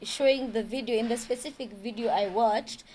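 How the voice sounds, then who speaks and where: Young Kenyan woman co-host, high-ish voice, animated, faint hiss behind. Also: moderate pace. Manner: code-switching, animated. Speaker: podcast co-host. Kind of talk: casual chat.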